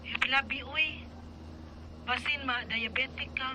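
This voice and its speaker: A man's voice: very high-pitched, steady tone, measured pace, heavy background noise, voice pushed up. A man putting on a fretful wife's voice. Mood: worried.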